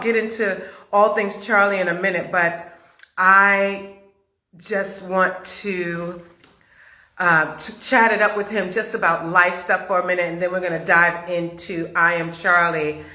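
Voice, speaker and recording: A woman hosts a live online chat with a very bright, forward voice. Her pace is unhurried and her pitch sits mid-range. She talks casually and effusively, gushing as a host does on a video call. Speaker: host of a live chat interview, audio drama maker.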